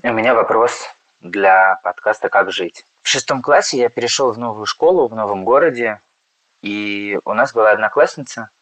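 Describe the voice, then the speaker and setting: Young man in his late twenties, low voice, dry close sound, hesitant confessional tone. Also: moderate pace, a bit bright, no room sound, poor audio. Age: late 20s. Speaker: caller. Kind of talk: recorded listener question.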